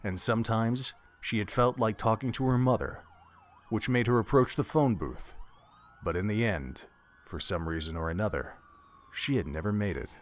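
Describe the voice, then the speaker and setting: A male narrator with a low-pitched voice. He delivers scripted, dramatic prose for an audio drama, told like an audiobook. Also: moderate pace.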